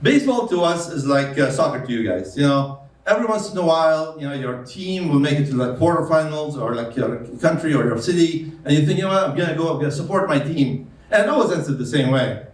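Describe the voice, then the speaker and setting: A man's voice: a little high, fast talker. Accented man doing stand-up in a roomy club. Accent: foreign. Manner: deadpan storytelling with hesitant fillers. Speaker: stand-up comedian. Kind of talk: stand-up comedy set. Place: comedy club stage. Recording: roomy club sound from a distance. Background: audience laughter.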